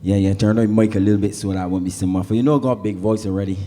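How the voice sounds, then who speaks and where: A man, a reggae singer, making relaxed banter to the audience before his song. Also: on the low side, very fast. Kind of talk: casual stage banter.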